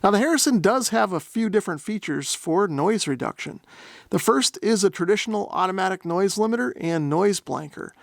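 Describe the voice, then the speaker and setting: A man's voice: sits high, lively intonation, fairly quick, gravelly, dry recording, clean studio sound. A man narrating a product demonstration in a methodical, step-by-step explanatory way. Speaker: host of a radio gear review channel.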